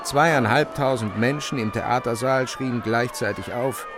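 A man's voice: medium pitch, a little slow, a crowd in the background, noisy background, natural voice. A man narrating a literary radio drama as a dramatic storyteller.

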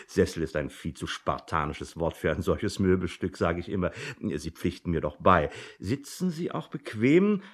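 A very deep, fussy, flattering personnel boss. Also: male voice, exaggerated voice. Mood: effusive, fussy. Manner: gushing, mannered, pompous, repeats himself in polite phrases. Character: effusive, flattering, fussy male personnel manager. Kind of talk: German radio drama dialogue.

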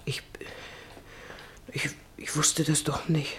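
A woman acting a gentle, anxious, unhappy wife in a German radio play, speaking softly, breathily and hesitantly. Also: low voice, level tone, takes it slowly, in a whisper.

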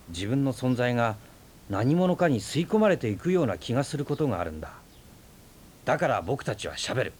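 An actor playing a calm, teasing man who lectures in a relaxed way about talk and existence, in a radio drama. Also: mid-range voice, slight background noise, lightly acted. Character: easygoing philosophical man, fond of debate. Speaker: storyteller and friend of the aunt.

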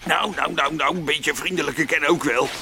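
An actor playing a rough, indignant burglar in a radio drama, stammering in coarse street slang. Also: male voice, on the high side, moderate pace, natural voice. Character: rough, indignant male burglar, blustering when cornered. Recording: noisy radio drama recording.

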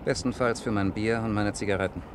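An actor playing a sardonic, smug young defence lawyer in a 1960s German radio play, speaking in a dry, ironic way. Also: male voice, lowish voice, steady tone, fast talker, natural voice. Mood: smug, joking. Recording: noisy 1960s radio recording with clicks.

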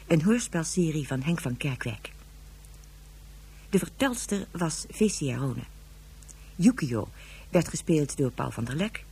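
A female narrator reads radio play credits in an even, measured way. Her voice is low and very breathy and husky. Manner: measured announcing. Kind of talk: announcing radio drama credits.

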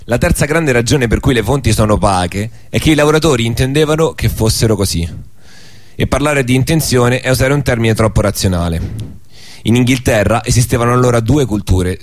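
A man giving a prepared radio talk, partly read aloud, in formal bookish phrasing with small stumbles. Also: medium pitch. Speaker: radio show speaker.

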